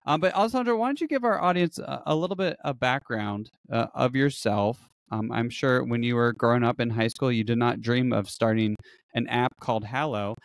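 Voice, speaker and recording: A man hosting a podcast at a studio boom mic, asking rambling questions full of fillers. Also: normal speed, vocal fry, dry recording. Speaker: podcast host. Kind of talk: casual interview. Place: home room indoors. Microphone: studio mic on boom arm with headphones.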